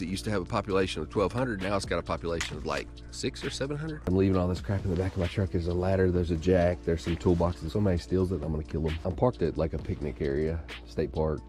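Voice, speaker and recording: A low-voiced man in his thirties rattles off a quick, rambling vlog while out on a cold winter trail. He talks loosely and bluntly into a handheld camera, dropping "like" all the time. Music plays underneath him. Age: 30s. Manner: rambling, loose, many "like" fillers, blunt and profane. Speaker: hiking vlogger. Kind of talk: casual vlog talk to camera. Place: outdoors on a cold winter lake trail and in a parked truck. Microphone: handheld action camera.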